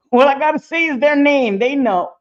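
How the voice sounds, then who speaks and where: A middle-aged woman with a low voice, giving blunt, profane casual commentary as a livestream host, often laughing. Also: dull audio.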